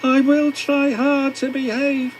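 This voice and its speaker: A man voicing a young male red steam engine who frets and then pushes on, in a high plaintive voice. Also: completely flat delivery, slow pace, slight background noise. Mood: sorry.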